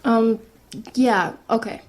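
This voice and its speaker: A woman's voice: fairly high pitch, fairly even intonation, takes it slowly, darkish tone. A woman playing a guarded, wry teenage older sister in an audio drama, casual and offhand. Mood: wary, awkward.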